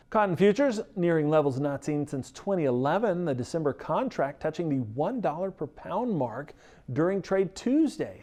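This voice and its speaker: Mellow American man reading farm news. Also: a little high. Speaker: news reader. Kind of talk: scripted agricultural news bulletin.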